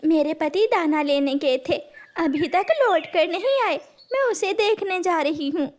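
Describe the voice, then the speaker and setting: Lady doing a worried cartoon mama sparrow, really high voice, plaintive and scolding. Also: expressive, a little slow, darkish tone. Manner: plaintive, reproachful. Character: anxious, scolding mother sparrow grieving her lost egg. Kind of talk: Urdu cartoon voice acting.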